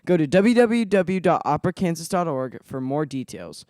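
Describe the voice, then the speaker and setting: Animated young male radio announcer. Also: a little high, normal speed, no room sound, studio quality. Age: young adolescent, middle school student. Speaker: radio show host and announcer. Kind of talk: scripted announcing.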